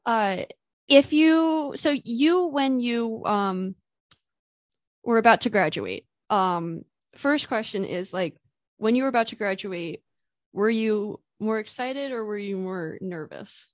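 A woman hosting a podcast interview, asking chatty, halting questions and laughing along. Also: mid-range voice, expressive. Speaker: podcast host.

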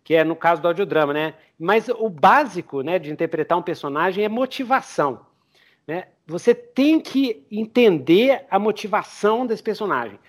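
A Brazilian man with a high-set voice hosts a casual podcast conversation. He feels his way through explanations, restarting and doubling words as he talks. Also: expressive. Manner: halting, repeats words while explaining. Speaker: podcast host. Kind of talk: casual interview chat.

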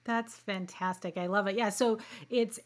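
A woman hosting an interview on a video call, chatty and animated, with asides and laughs. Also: medium pitch, normal speed. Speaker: interviewer host. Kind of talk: casual interview.

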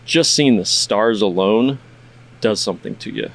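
A man's voice: fairly low pitch, measured pace, gravelly, a bit bright. A man hosting a podcast, chatting in a rambling, laid-back way and swearing now and then. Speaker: podcast host. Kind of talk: casual interview chat.

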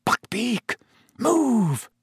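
A tense storybook schoolboy, played by a male narrator, hushed and urgent. He is determined, with a raised, lively pitch. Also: moderate pace, slightly rough, dry recording, studio quality.

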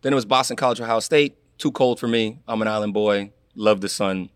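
Lively young man telling stories. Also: fairly low pitch, brisk, clear, resonant tone, no room sound, studio quality. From Florida. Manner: animated storyteller, acts out quotes, laughs. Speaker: guest, former college athlete. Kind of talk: casual interview chat.

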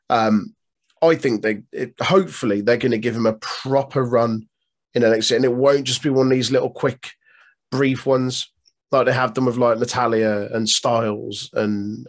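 Man, podcast co-host, loose rambling chat, frequent laughs and swearing, middle-pitched voice. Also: steady tone, moderate pace. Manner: chatty, swears, laughs often, trails off mid-sentence. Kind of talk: casual chat.

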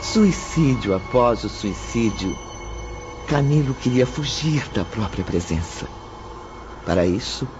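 A man's voice: medium pitch, noisy background. A man narrating a radio drama in a dramatic, scripted style. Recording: muffled, under prominent music.

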